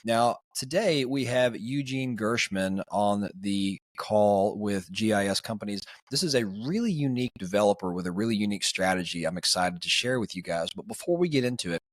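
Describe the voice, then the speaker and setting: Hoarse man, podcast host, raspy and a bit low, chummy casual talk, dry close sound. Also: normal speed, hardly any pauses, dry recording. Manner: upbeat, chummy, addresses listeners as family. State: hoarse, voice raspier and deeper than usual.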